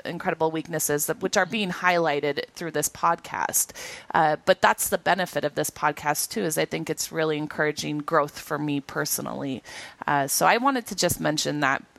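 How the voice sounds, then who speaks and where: A woman co-hosting a podcast in a casual chat, with a nasal voice and a quick, rambling way of talking full of restarts. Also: low-pitched, creaky, fairly bright tone, no echo. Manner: quick, rambling, self-correcting talk with restarts. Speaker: podcast co-host.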